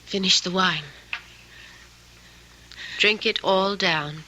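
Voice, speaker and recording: A woman's voice: medium pitch, slow pace, sharp tone, rough recording. An actress playing a domineering young female drifter, sly and mocking, in theatrical old-time radio drama style. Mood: coaxing, bossy. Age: young adult. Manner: theatrical, commanding, taunting.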